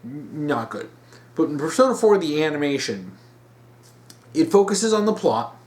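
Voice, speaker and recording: A man's voice: a little high, big pitch swings, moderate pace, darkish tone. A man giving emphatic opinion commentary as a video host, repeating words for stress.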